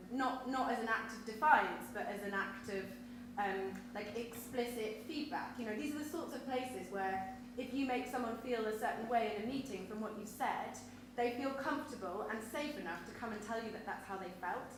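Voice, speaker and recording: Woman panelist in a very echoey hall. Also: mid-range voice. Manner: thoughtful, searching for words. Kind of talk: panel discussion. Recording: very echoey hall, background noise.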